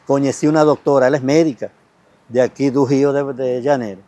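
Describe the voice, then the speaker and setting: A man's voice: mid-range voice, steady tone, a little fast, warm tone. A man who worked construction, telling his story plainly in a documentary interview. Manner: plain storytelling, quoting others.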